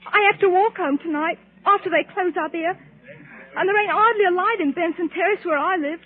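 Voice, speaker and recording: An actress playing a frightened, trembling young working-class English waitress in an old-time radio drama. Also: female voice, very high-pitched, a little fast. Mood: frightened, timid. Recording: tinny band-limited old radio recording.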